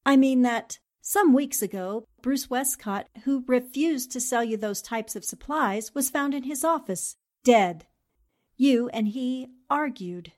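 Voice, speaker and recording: A woman acts out a determined lady reporter in a forties radio play. Her fairly high voice is poised and polite, with a probing edge. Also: a little slow. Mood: probing, pointed. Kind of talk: 1940s radio drama acting.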